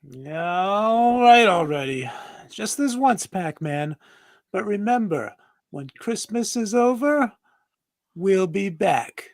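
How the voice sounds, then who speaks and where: A man playing a gruff, scornful cartoon ghost gang boss, sneering and tough-talking in a table read. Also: sits high, lively intonation, slow, full character voice. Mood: grudging.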